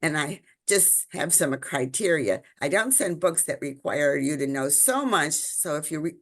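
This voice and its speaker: A woman's voice: deep voice, lively intonation, measured pace. A woman from Illinois, hosting an interview and asking probing conversational questions. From Illinois, United States. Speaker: podcast host.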